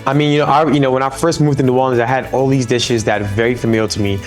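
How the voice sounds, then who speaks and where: A man's voice: mid-range voice, fairly even intonation, racing, faint music behind. A man from Senegal, a chef guest on a live talk show, talking enthusiastically over a remote link. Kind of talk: remote interview.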